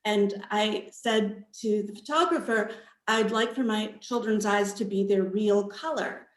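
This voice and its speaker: A woman author speaking as a panel guest on a video call, thoughtfully explaining her own book in halting phrases. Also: mid-range voice. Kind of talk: panel interview answers about own book. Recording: roomy, echoey video call sound.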